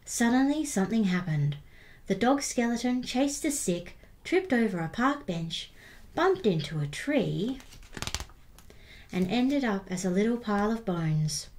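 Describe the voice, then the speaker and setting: A woman reading a children's picture book aloud as storyteller, expressively and with deliberate emphasis on repeated words. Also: mid-range voice, big pitch swings, a little mellow.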